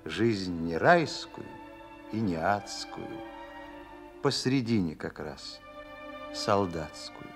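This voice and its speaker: An actor playing a solemn poetic narrator, reciting verse in a measured, declamatory way for a radio drama. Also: male voice, deep voice, lively intonation, heavily acted. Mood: solemn, lyrical. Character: solemn male poetic narrator addressing a woman. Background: music.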